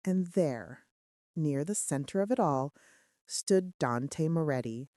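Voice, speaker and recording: A woman's voice: low voice, expressive, slow, dark tone, no echo, studio quality. A woman reading scripted first-person romance fiction as an audiobook narrator, with dramatic, suspenseful delivery.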